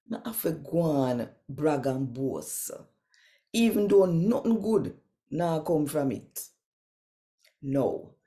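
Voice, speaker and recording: A Jamaican woman hosts a devotional reading in a deep-set, mellow voice. She takes her time, phrasing deliberately and addressing the listener directly, as if in conversation. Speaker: host and scripture reader.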